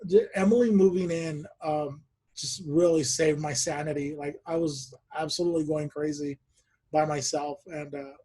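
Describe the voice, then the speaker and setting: A man hosting a podcast over a video call, telling stories in a relaxed chat and laughing often. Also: fairly high pitch, normal speed, breathy edge. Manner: laughs often, animated storytelling. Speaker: podcast host. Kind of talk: casual chat.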